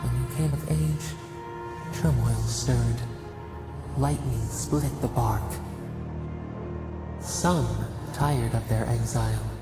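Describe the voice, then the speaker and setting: Game casting: solemn ancient spirit, gravelly, portentous delivery, reverberant, over loud music. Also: medium pitch. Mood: portentous, reverent. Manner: slow, cryptic, poetic, portentous. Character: ancient, solemn nature spirit recalling shared memories. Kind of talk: video game dramatic narration. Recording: echoing voice under prominent music.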